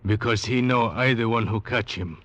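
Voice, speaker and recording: Man playing a low-voiced, ominous Venezuelan snake catcher. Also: fairly bright tone, no echo, natural voice. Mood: fearful warning. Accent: Spanish-accented English. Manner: broken English, slow ominous warnings. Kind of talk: 1940s radio acting. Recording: dull vintage 1940s radio recording.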